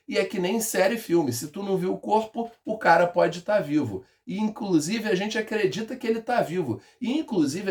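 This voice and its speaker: A man voicing a group of excited Portuguese nobles who insist their dead king is still alive. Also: high voice.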